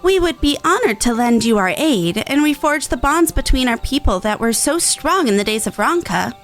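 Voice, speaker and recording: A woman's voice: sits high, sing-song, normal speed, faint music behind. A woman voicing a small, earnest young rodent-folk scholar in a video game, speaking politely in formal, archaic phrases. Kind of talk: reading video game dialogue in character.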